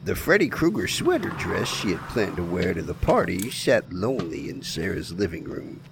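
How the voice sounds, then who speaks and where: A man's voice: low voice, sing-song, moderate pace, gravelly, full character voice. A man acting a small-town gravedigger who narrates eerie tales in a folksy audio play style, over background noise. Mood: wry, ominous. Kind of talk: folksy scripted story narration.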